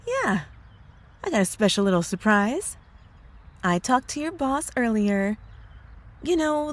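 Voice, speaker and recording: A woman playing a possessive young witch girlfriend in an ASMR roleplay, cooing sweetly with drawn-out words and a menacing undertone. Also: fairly low pitch. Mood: smug, controlling. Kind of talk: ASMR roleplay audio drama.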